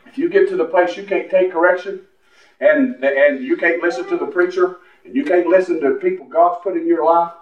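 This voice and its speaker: A man of forty preaching a sermon as pastor, often rising into shouted, high-pitched cries. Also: a little fast. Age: 40s. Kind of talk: Pentecostal-style sermon. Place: church sanctuary. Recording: roomy, distant, dull church recording.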